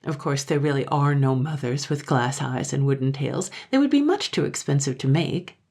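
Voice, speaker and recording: A woman's voice: extremely low pitch, expressive, moderate pace, a little mellow, heavily acted. A woman voicing a cheerful, unconcerned village girl who teases in a breezy, offhand way. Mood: teasing.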